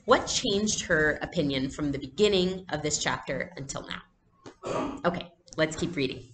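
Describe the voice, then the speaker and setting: A woman's voice: low-pitched, a little slow. A woman reading a storybook aloud expressively, like a teacher, in an indoor room. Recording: echoey room, faint background noise.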